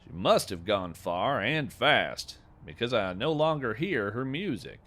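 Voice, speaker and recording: A man playing a gentle, whimsical naturalist in a fiction podcast, narrating with folksy, reflective wonder and deliberate phrasing. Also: medium pitch, very animated. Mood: hushed wonder. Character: gentle, whimsical male naturalist marvelling at strange creatures. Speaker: host and narrator. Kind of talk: scripted fiction podcast narration, storytelling monologue.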